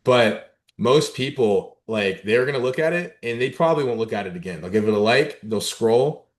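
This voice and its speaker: A man's voice: medium pitch, normal speed. A young man from Dallas, a content creator guesting on a podcast, talking casually with lots of slang and "like.". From Dallas, USA. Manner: relaxed, slangy, lots of "like" and "right?".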